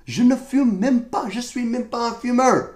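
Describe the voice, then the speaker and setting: A man's voice: very high voice, a little slow. A young American man with an American accent, speaking French as his second language, ranting sarcastically to camera while sick with a cough. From United States. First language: English. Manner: exasperated, sarcastic complaining. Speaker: vlogger. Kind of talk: comedic rant to camera. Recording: echoey room.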